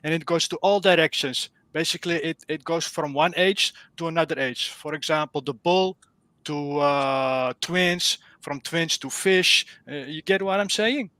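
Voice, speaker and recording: Dutch-accented male caller, fairly high and bright, dry close sound, earnest and groping for words. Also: a little slow, no room sound. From Netherlands. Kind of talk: casual explanation on a live stream.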